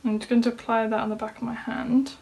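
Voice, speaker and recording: A woman's voice: on the high side, little pitch movement, fast, creaky, a little mellow, breathy edge, low-quality recording. A woman vlogger walking through a makeup tutorial in a casual chat, sounding slightly nasal from a cold. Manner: chatty, talks through each step. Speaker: beauty vlogger. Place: home room at a desk.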